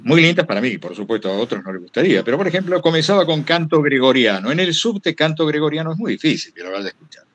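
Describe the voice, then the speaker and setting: A man's voice: a little high, very animated, quick pace, few pauses, a bit bright. A man with a Rioplatense Argentine accent, telling anecdotes casually in a digressive way and acting out what people said. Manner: digressive, acts out quoted remarks. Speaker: guest storyteller giving a talk.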